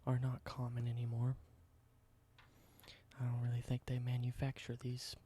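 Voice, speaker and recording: A man in a whispered ASMR roleplay, softly explaining everyday objects slowly and simply. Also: mid-range voice, monotone, slight background noise. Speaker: ASMR creator.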